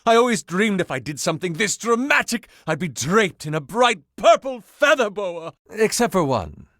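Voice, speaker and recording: An actor playing a flamboyant, excitable washing machine in a video game, grandiose, romantic and melodramatic in delivery. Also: male voice, high voice, normal speed, no echo, studio quality, heavily acted. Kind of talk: theatrical video game voice acting.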